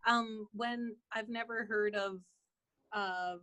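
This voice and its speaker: A woman's voice: medium pitch, fairly even intonation, slow, bright, forceful tone. Hesitant woman asking a question. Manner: hesitant, chatty with fillers. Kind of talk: casual question on a video call. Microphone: video call.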